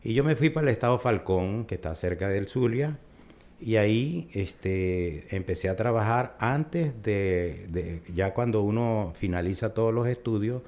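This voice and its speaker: Older Venezuelan man, low voice. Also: lively intonation, measured pace, darkish tone. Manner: chatty storyteller, recounts at length. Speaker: urologist guest. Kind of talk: conversational interview.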